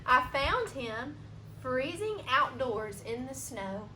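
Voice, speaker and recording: A woman's voice: high-pitched, very animated, slow pace, full character voice. A woman voicing an emphatic male museum guard from a children's storybook, with old-fashioned turns of speech. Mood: amazed, proud. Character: stern, gruff-talking male museum guard who softens to pride. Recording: roomy echo, background noise.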